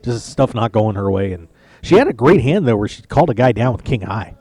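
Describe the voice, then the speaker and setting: A man hosts a poker radio show live from a tournament lounge. His voice is mellow and dark, and the sound is dry. He rambles and jokes with lots of restarts. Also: mid-range voice, lively intonation, fairly quick, no echo. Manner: chatty, joking, rambling with restarts. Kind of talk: casual live-stream chat.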